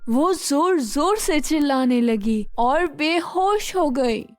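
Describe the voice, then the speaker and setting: Woman, children's story narration, high voice, dry close recording, expressive, deliberate. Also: no echo, faint music behind.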